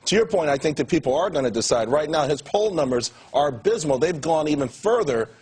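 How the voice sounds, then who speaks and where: A man speaking as a guest on a studio panel discussion, making his case in a brisk, argumentative way. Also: fairly high pitch, animated, darkish tone, husky. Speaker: talk show panel guest.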